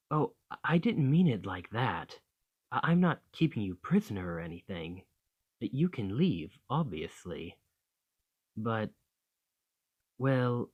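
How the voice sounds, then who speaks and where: Man acting as a devoted dragon boyfriend, very slow delivery, lowish pitch, ASMR roleplay, reassuring, a little possessive. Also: a little mellow, dull audio. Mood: clingy, earnest. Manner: tender, reassuring, unhurried. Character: affectionate, slightly possessive male dragon boyfriend.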